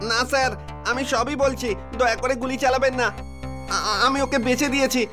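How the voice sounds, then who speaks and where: A greedy, cowardly crook talks in an extremely high male voice. He is a shady cartoon kidnapper with a cringing manner, set over clear background music. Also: fairly even intonation, normal speed. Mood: frightened, pleading. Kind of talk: dubbed animated crime story dialogue. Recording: music behind the voice.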